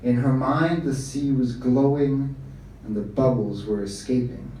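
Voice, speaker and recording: A man's voice: medium pitch, measured pace, warm tone. Man reading a story in an echoey room. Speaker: story reader. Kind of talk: live reading of a short story. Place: bar event room, indoors. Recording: echoey room from far off, faint noise.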